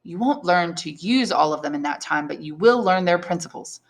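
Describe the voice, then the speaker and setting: A learned old prisoner priest from a classic novel , read aloud by a woman. He speaks deliberately and shrewdly, weighing each point like a patient tutor, with a kindly wisdom beneath the probing. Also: fairly low pitch, expressive. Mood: gentle, regretful. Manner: deliberate, probing questions and measured explanations.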